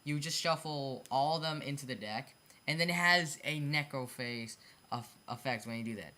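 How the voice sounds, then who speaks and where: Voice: medium pitch, moderate pace, bright, forceful tone. Someone giving a casual, off-the-cuff explanation of card game designs, often repeating and correcting themselves and apologizing for mistakes. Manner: repetitive, self-correcting, stumbles over phrasing; apologetic about own mistakes. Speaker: hobby card game video creator.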